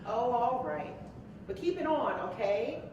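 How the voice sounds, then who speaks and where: A woman's voice: on the high side, animated, measured pace, a little mellow, highs cut off. A woman acting a teasing, affectionate young wife in a staged play, with a playful, coaxing way of talking. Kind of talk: live staged play dialogue. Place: large echoey room, live staged reading. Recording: very echoey live hall, distant mic, faint noise.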